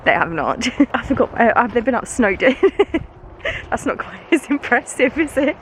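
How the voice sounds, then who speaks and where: A British woman with a congested, bunged-up nose, walking through the woods as she chats to camera in a self-mocking way and laughs often. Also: mid-range voice. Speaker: vlogger and schoolteacher. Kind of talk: casual vlog chat while walking. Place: woods outdoors. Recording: noisy outdoor camera recording. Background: outdoor ambience, passing vehicles.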